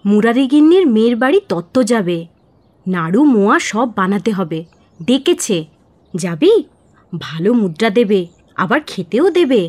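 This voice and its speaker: A woman playing a brisk, bossy but friendly village neighbour in an audio drama, talking in a homely, colloquial way. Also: medium pitch, big pitch swings, a little mellow. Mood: brisk, coaxing. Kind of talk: audio story acting in Bengali radio drama.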